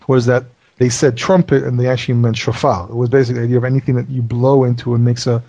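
A man's voice: mid-range voice, flat delivery, quick pace, creaky, mellow, no echo, muffled recording. Man explaining scripture with many restarts. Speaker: Bible teacher explaining Hebrew terms. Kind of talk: casual Bible study discussion.

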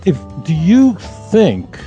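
Joking Brooklyn man, mellow voice. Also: high-pitched, wildly swooping pitch, takes it slowly, highs cut off, faint music behind. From Brooklyn, New York. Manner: joking, rambling with many restarts. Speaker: rabbi guest. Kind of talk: casual chat.

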